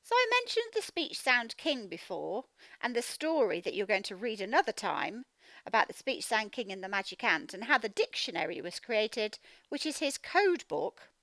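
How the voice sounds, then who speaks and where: A woman teacher giving a children's read-aloud phonics lesson, speaking in an animated, emphatic, instructional way and sounding out words. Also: medium pitch, normal speed, no echo, low-quality recording. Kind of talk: children's read-aloud lesson, explaining directly to young learners.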